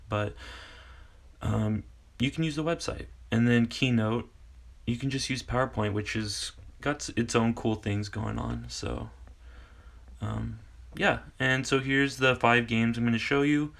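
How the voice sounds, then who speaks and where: A man in his forties from Kansas, a teacher casually explaining classroom games in a rambling, halting way full of fillers. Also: mid-range voice, gravelly, fairly bright tone. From Kansas, United States. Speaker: English teacher and video host. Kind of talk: casual tutorial talk.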